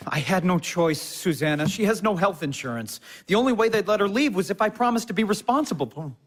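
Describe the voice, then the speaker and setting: Young husband, very varied intonation, earnest, hesitant, placating, naturalistic audio drama. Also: male voice, high-pitched, expressive, brisk. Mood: apologetic.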